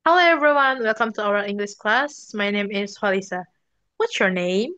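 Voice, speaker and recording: A young woman teaching a beginner English class, giving slow, deliberate instructions and bright praise. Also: medium pitch, lively intonation, dry recording. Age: young adult. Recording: dull, dry and slightly muffled.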